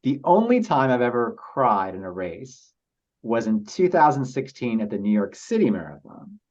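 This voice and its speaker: A man speaking as a podcast guest, telling personal stories in a halting, reflective and earnest way. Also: medium pitch, sing-song, a little mellow. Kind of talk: casual interview storytelling. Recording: slightly dull lo-fi audio.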